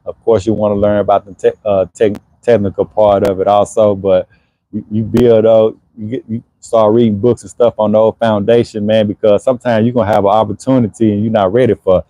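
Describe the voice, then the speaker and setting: A man's voice: on the low side, level tone, fairly quick, dark tone, no echo, low-quality recording. A man in his forties running an HVAC business, chatting casually on a livestream and answering viewers in a laid-back way. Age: 40s. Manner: laid-back, conversational, frequent "you know what I'm saying". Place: Houston area.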